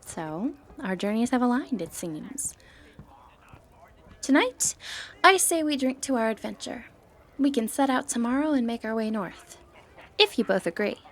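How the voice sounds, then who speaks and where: A woman playing a sly, confident, flirty thief in a fantasy roleplay, playful and cheeky with breathy asides. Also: on the high side, expressive, a little slow, long pauses. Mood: inviting. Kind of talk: scripted fantasy ASMR roleplay, addressing the listener.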